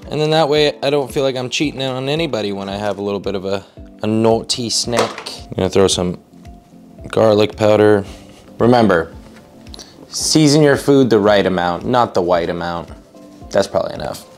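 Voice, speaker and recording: A man's voice: fairly low pitch, normal speed, faint music behind. A young man casually talking viewers through a meal prep recipe while he cooks, upbeat and joking. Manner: upbeat, jokey, talks to viewers while cooking. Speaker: fitness vlogger. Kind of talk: casual cooking vlog talk.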